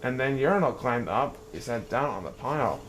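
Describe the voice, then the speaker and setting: A man reading a rhyming children's story aloud in a sing-song, animated way. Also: mid-range voice, normal speed, slight background noise, rough recording.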